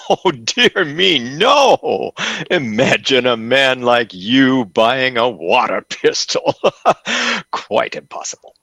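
A man's voice: mid-range voice, slow pace, no echo, full character voice. Fawning pompous salesman, swooping theatrical voice. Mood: flattering. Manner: effusive, flattering, theatrical, chuckling. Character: fawning, pompous salesman at a grand sporting goods store. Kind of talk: old-time radio comedy acting.

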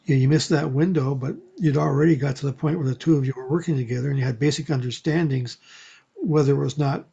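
A man chatting casually in a measured, explanatory way with pauses, on a dull lo-fi recording. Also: medium pitch, creaky, mellow, breathy edge. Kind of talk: casual chat. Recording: dull, lo-fi sound.

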